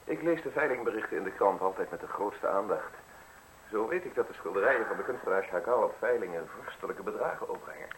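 A sly male stranger in a radio drama, with a lowish, creaky voice that swings widely in pitch. He speaks deliberately and theatrically, with ornate phrases and a show of modesty, over a faintly hissy recording. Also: animated, fairly bright tone, low-quality recording. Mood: appraising, admiring. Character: sly, soft-spoken uninvited male visitor, falsely modest and observant. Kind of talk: Dutch radio play acting.

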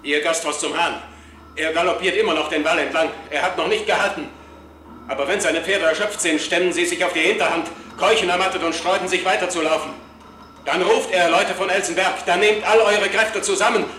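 An actor playing an agitated lookout in a radio play, urgently announcing dread and repeating himself. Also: male voice, high-pitched, fairly even intonation, fairly quick, pushed and bright. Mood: tense, foreboding. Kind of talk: mid-century German radio play acting. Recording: echoey, faintly noisy radio recording.

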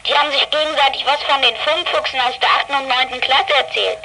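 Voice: high-pitched, fairly even intonation, quick pace, gravelly, pushed and bright, slight background noise, full character voice, rough recording. A young performer playing a chubby, cheerful schoolboy in a children's radio play, chattily reporting over a walkie-talkie. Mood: amused chatty.

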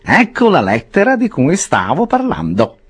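Guy reading a smug slow lawyer, husky, lots of pitch swing. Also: wildly swooping pitch, full character voice. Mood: triumphant. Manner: slow, emphatic and insistent. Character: shrewd lawyer, pleased with himself. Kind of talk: audiobook character voice.

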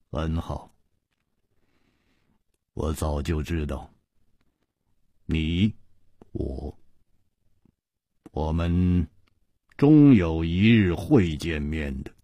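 Man voicing icy low husky assassin. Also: dark tone, no echo, exaggerated voice. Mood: cold, quiet. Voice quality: dry, husky. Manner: icy, unhurried, understated menace. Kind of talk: Chinese wuxia audiobook acting.

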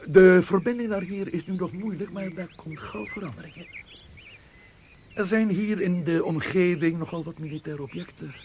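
A man's voice: sits high, normal speed, darkish tone, slight background noise. An actor playing a genial, knowing, well-connected military man in a Dutch radio play. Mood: informative.

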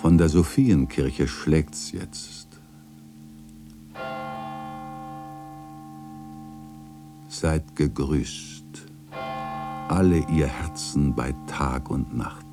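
An actor playing a melancholy old chronicler in a radio drama, narrating in a solemn, lyrical, gravelly manner. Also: male voice, very deep voice, slow pace, over music, heavily acted. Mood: solemn farewell. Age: older. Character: melancholy old male chronicler looking back on his life.

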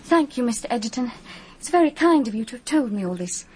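A woman's voice: fairly high pitch, lively intonation, quick pace, darkish tone, slight background noise, natural voice. An actress playing a polite but guarded young English heiress in a radio drama, girlish and full of probing questions. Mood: probing, earnest.

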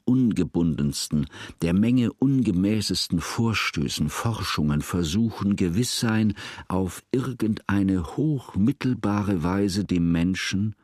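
An actor playing a solemn scholarly narrator, stating his convictions in a measured, formal, emphatic way in a radio drama. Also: deep voice, darkish tone, dry recording, studio quality.

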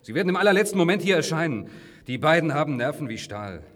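An actor playing an earnest, protective leader of a bunker group in a radio drama, intense, with a husky voice. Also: male voice, on the high side, sing-song, fast talker. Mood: tense, reassuring. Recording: long hall-like reverb.

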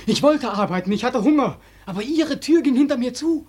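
Young war returnee in a radio drama, despairing and sarcastic, raspy edge, very animated melody. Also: male voice, extremely high pitch, a little fast, exaggerated voice. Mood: bitter accusing. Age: young adult. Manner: theatrical, sarcastic, accusing. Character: young returning soldier, bitter, despairing, accusing, feverishly dreaming. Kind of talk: postwar German radio play acting.

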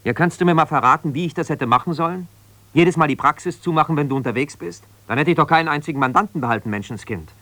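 An actor playing an embittered, ambitious lawyer husband who talks in an argumentative, colloquial way in a naturalistic radio play. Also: male voice, a little high, fast, sharp tone, no echo. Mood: indignant, defensive. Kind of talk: naturalistic radio play, one side of a phone call.

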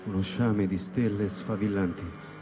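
A male actor plays a solitary spaceman narrating a literary sci-fi radio drama. His voice is fairly low, measured and grave. It rings in a long reverb, with electronic music clearly behind it. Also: steady tone, lightly acted. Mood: grave, tense.

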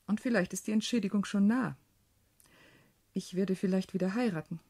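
Worldly widow, husky and mellow. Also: female voice, mid-range voice. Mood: aggrieved. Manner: deliberate, expressive, philosophizing. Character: shrewd, self-possessed widowed sister, worldly, calculating and proud. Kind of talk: German radio play acting.

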